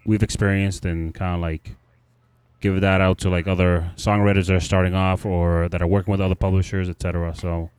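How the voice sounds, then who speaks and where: A man's voice: low-pitched, flat delivery, a little fast, a little mellow, smooth, no room sound. A man hosting a podcast, chatting in an easygoing way and explaining things with examples. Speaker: podcast host. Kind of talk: casual podcast chat.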